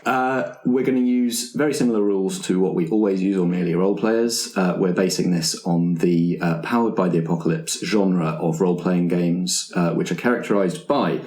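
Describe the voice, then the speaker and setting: Low-voiced man explaining game rules, roomy sound. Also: normal speed, hardly any pauses, a little mellow. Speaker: game master and host. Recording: roomy, echoing room sound.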